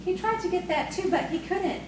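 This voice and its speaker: A woman's voice: extremely high pitch, fairly quick. A woman playing a coy, proud young farmer's daughter in audio theatre, with an earnest storytelling manner. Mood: confiding. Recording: distant, very echoey, noisy stage recording.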